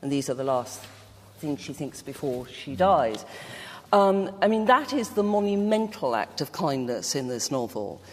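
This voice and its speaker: A woman speaking as a guest in a reflective literary discussion, with a halting, measured delivery. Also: low voice, very animated, a little mellow. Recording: very reverberant hall.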